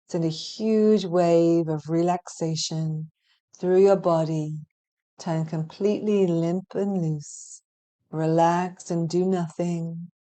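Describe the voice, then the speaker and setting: A woman with a fairly low, gentle voice guides a hypnotic relaxation session. Her tone is dark and mellow, and she speaks very slowly. Phrases come in a measured, soothing flow with long pauses between them. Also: clean tone. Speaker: hypnotherapy practitioner guiding meditation. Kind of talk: guided relaxation meditation, hypnotic scripted narration.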